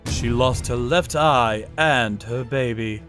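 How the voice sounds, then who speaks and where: A man voicing a hardboiled male vigilante who narrates the story in a grim, steady manner in a manga dub. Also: medium pitch, slow pace, faint music behind.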